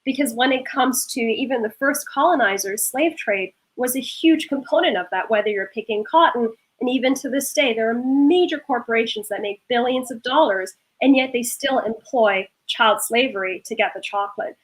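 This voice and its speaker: A woman's voice: a little high, moderate pace, hardly any pauses, poor audio. A woman who grew up in Norway, speaking earnestly and with passion as a panelist in a discussion. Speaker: panelist, food startup founder.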